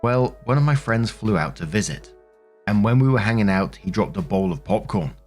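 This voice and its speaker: A man with a fairly low voice narrates online stories as a host. He reads in a conversational style and speaks directly to his listeners with casual commentary. Music plays faintly behind his voice. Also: expressive, moderate pace, a little mellow, breathy edge.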